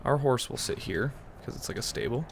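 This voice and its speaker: A man's voice: mid-range voice, lively intonation, fairly quick, a little hiss or hum. A man giving casual, rambling commentary over a roleplay game playthrough in a wry manner. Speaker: gaming YouTuber.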